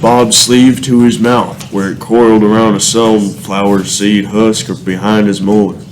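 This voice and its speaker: A man's voice: fairly low pitch, level tone, unhurried, lightly acted. A man narrating a radio play in a scripted, dramatic storytelling style. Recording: echoey room, faint noise.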